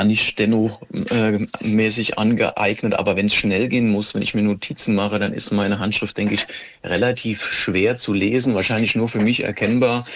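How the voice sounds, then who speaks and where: A man, answering interview questions as an expert in a measured, matter-of-fact way with careful phrasing. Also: fairly low pitch. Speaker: police handwriting expert, interview guest.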